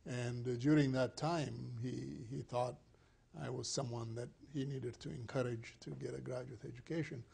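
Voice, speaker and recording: An older man from Ethiopia, a scientist answering interview questions in a measured, thoughtful way with many fillers, recorded on a lapel mic in a small studio. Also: medium pitch, fairly even intonation, gravelly, darkish tone, breathy edge. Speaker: plant scientist guest. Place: small studio set indoors.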